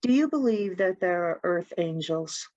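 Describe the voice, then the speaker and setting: A woman's voice: lowish voice, lively intonation, normal speed, warm tone, no room sound, muffled recording. A woman chatting casually as a participant in a spiritual discussion group.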